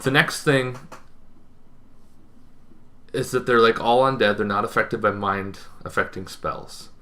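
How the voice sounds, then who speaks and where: A young man talking casually to camera, explaining things in an unscripted way with emphatic phrasing and pauses. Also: lowish voice, bright, forceful tone. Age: young adult. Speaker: tabletop gaming video host. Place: small bedroom, indoors.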